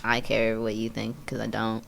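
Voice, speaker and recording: A woman's voice: a bass voice, monotone, normal speed, gravelly, a bit bright. A woman in her early thirties chatting casually as a podcast guest, with blunt, slangy talk full of swearing. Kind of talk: casual chat.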